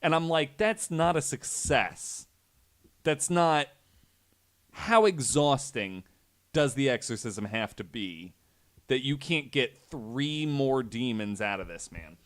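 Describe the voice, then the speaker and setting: A man's voice: mid-range voice, animated, slow. A man hosting a podcast, telling a true story in a conversational way with wry, incredulous asides. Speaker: podcast host.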